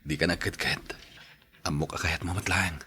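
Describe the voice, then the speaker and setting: A man's voice: low-pitched, steady tone, normal speed, bright, forceful tone. A man acting an insistent, coaxing secret lover, a personal driver, in a podcast drama.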